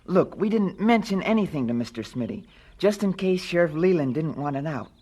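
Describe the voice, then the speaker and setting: A woman playing a bold, watchful boy detective with a brisk manner in a 1970s radio drama. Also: low voice, expressive, mellow, a little hiss or hum. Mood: secretive.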